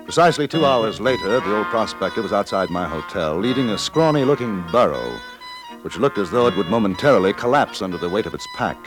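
An actor playing a courteous, cool-headed gentleman adventurer, narrating and acting formally in a 1950s radio drama. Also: on the low side, sing-song, music in the background, rough recording. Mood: dry storytelling. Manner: polite, formal, measured.

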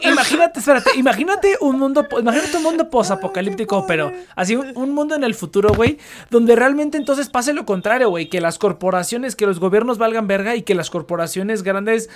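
A speaker with a Mexican accent, chatting casually among friends, rambling and excitable with heavy slang. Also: medium pitch, brisk, few pauses.

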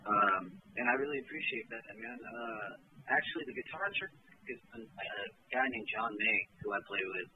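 A man's voice: medium pitch, expressive, moderate pace. Laid-back musician guy on a tinny line. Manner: laid-back, rambling, full of "like" and "man". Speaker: musician guest. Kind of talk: casual interview chat. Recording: tinny phone-like line with faint noise.

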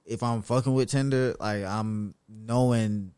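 A man guesting on a podcast, talking in a relaxed, slangy, rambling way. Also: on the low side, measured pace, smooth. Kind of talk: casual chat.

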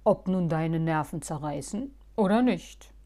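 A woman's voice: low-pitched, expressive, measured pace, dark tone, voice pushed down. Woman voicing a dry, bearded gnome man. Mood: dry teasing. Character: dry, grumpy old male gnome with beard.

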